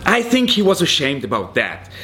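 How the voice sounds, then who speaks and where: A man's voice: on the high side, wildly swooping pitch, normal speed, sharp tone. A man from Belgium, a native French speaker with a Belgian French accent, telling a self-mocking story to an audience. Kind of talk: storytelling performance. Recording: noisy live recording.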